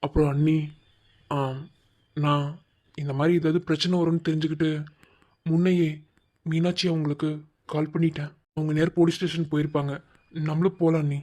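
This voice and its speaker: A man playing a practical, steadying young family member, speaking haltingly and reassuringly in a family drama. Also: on the high side, little pitch movement, mellow, lightly acted.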